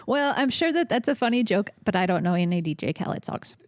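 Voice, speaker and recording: A woman hosting a comedy podcast, opening the show and throwing out quick sarcastic comebacks. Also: medium pitch, animated, no room sound, studio quality. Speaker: podcast host. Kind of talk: casual comedic chat.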